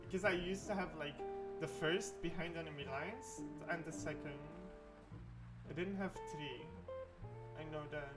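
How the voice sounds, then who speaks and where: Excitable higher-voiced host man. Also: over loud music, rough recording. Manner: excitable, quick to gasp and exclaim. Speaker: podcast host. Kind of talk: casual interview chat.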